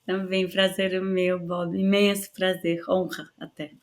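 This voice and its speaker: A woman with a softened Carioca accent, telling stories in a lively way with many restarts as the guest in an interview. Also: on the low side, unhurried. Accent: Carioca Brazilian Portuguese, softened. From Rio de Janeiro, Brazil. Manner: animated storyteller, many restarts and laughs. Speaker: guest, actress. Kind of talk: relaxed interview conversation.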